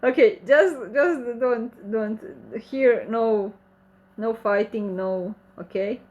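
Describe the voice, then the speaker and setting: A woman's voice: fairly high pitch, slow pace, a little mellow, highs cut off. A woman with a Croatian accent, who speaks Croatian natively, hosts a casual livestream Q&A. She reads viewer comments aloud and answers them in friendly, slightly broken English. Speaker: channel host reading chat questions.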